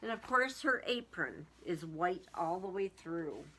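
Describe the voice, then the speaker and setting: Woman, children's read-aloud, bright tone, very lively intonation, unhurried pace, emphatic storyteller. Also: on the low side, a little hiss or hum, rough recording. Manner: deliberate, emphatic, dramatic word-by-word delivery. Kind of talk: expressive picture-book read-aloud with explanatory asides.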